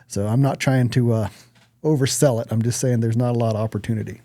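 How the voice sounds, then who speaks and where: Male American podcast co-host, blunt and profane, soft-toned delivery, very dry sound. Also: mid-range voice, fairly even intonation, fast, no echo. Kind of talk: casual podcast chat.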